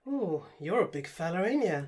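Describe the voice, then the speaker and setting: A woman's voice: lowish voice, very animated, normal speed, a little mellow, husky. A woman playing a brash, flirtatious, down-to-earth character in a comic audio drama, talking in a chummy, blunt, colloquial way. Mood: flirty.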